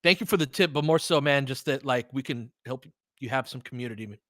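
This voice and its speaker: A man's voice: mid-range voice, brisk, a bit bright, no room sound, clean studio sound. A man doing casual live basketball commentary as a fan host, slangy and sweary, talking to the players.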